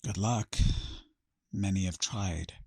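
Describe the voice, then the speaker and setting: An actor playing an outcast man called mad by his island, a wary survivor with a husky, halting delivery in an audio drama. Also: sits low, dry recording, studio quality, full character voice. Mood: intense.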